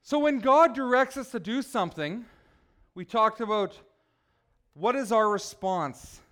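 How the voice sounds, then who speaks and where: A man preaching a relaxed, conversational sermon, joking with his congregation and teasing people by name. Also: high-pitched, a little slow. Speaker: pastor.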